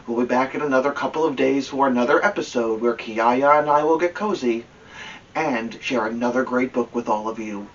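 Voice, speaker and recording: A man hosting a story time, reading a children's book aloud in an expressive way and chatting to his listener. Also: mid-range voice, brisk. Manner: expressive, talks to listener between story lines. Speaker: storytime host. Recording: distant mic, dull lo-fi sound, faint noise.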